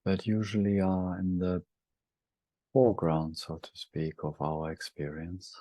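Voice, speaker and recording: A man leading a guided meditation, speaking gently in short, halting phrases with long pauses between them. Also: low voice, level tone, dry recording.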